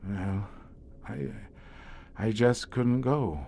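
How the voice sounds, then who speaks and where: An actor playing a haunted, learned middle-aged scientist who guards monster-ridden tunnels, speaking in a grave, florid, theatrical way for an audio horror drama. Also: male voice, lowish voice, animated, darkish tone, a little hiss or hum, heavily acted. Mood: grim, resolute. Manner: grave, florid, dramatic storyteller with weighty pauses.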